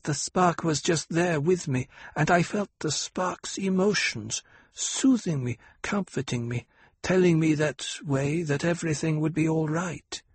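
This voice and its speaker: A man voicing a solemn young girl with an old soul, speaking with a half-lisp in a slow, hushed, earnest way. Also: on the high side, breathy edge, voice pushed up. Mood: hushed, wondering. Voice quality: half-lisping.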